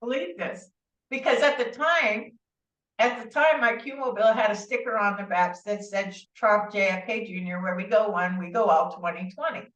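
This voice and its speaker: A woman's voice: medium pitch, normal speed. Rambling woman on a distant, echoey line. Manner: rambling, animated, many asides and restarts. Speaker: interview guest. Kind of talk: casual conversational storytelling. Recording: distant, roomy, dull-sounding audio.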